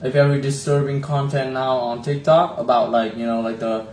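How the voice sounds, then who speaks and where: A man's voice: medium pitch, little pitch movement, normal speed, darkish tone, a little hiss or hum. Chatty man teasing his co-host, echoey room. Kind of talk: casual chat.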